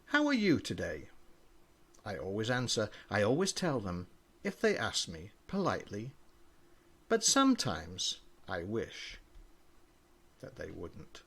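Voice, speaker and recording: An older man, a grandfather, reading children's poems aloud with an expressive storybook delivery. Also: lowish voice, unhurried, gravelly, pauses often, slightly rough.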